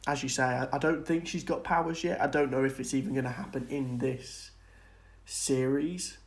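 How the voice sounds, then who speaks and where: A man's voice: mid-range voice, normal speed. Casual man co-hosting a podcast. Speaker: podcast co-host. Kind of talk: casual film and TV discussion.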